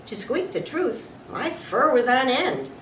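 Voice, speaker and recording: A woman voicing a small, excitable, nervous male hamster who tells his story in a chatty, wide-eyed way. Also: sits high, unhurried, pitched above the performer's own voice, heavily acted. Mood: excited. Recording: echoey room, distant mic, background noise.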